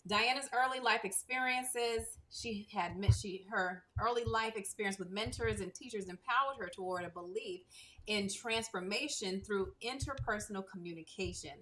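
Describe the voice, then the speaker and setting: A woman's voice: mid-range voice, moderate pace, a bit bright, low-quality recording. A woman hosting an online talk show, reading a guest's bio with enthusiastic asides, on a video call. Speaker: host.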